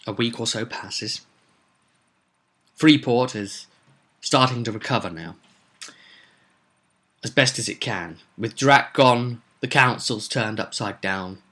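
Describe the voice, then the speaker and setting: Male, lowish but bright and punchy voice; rambling, off-the-cuff tabletop campaign storyteller with emphatic stresses. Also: slow, long pauses. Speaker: host recapping a tabletop roleplay campaign. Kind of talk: casual unscripted storytelling.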